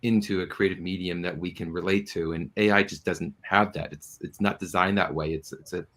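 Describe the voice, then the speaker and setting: A man with a low-pitched voice answers as an interview guest. He is earnest and explanatory, feeling his way through each point in a measured, conversational manner. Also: steady tone, fairly bright tone. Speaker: interview guest, audio drama producer.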